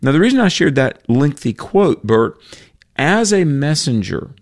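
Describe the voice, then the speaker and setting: A man's voice: on the high side, very animated, a little slow, no echo, studio quality. Earnest man talking faith on radio. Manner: earnest, quotes scripture, frequent fillers and restarts. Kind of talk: conversational faith discussion.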